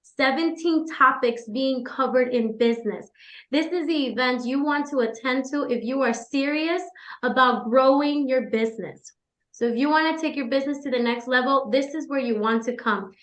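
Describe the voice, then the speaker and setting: A woman organizer opens the show with enthusiastic promotion for her event. Her voice is high, and the recording rings with room echo. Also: normal speed, a bit bright. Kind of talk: promotional introduction.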